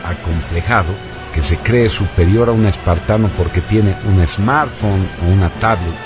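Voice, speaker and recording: A man's voice: low voice, normal speed. A man presenting on radio, reading a scripted narration in a declamatory, emphatic manner. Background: loud background music.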